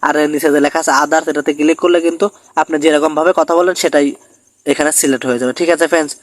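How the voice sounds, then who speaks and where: A man's voice: on the high side, steady tone, rapid-fire, dry recording. A man hosting a step-by-step tech tutorial, often checking in with his listeners as he narrates.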